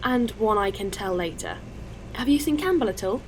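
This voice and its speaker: A woman's voice: mid-range voice, expressive, normal speed, soft background music, close to an everyday voice. Caring, casual alien spacefarer woman played by an actress. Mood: businesslike. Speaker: actor in an audio drama. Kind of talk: naturalistic science-fiction audio drama acting.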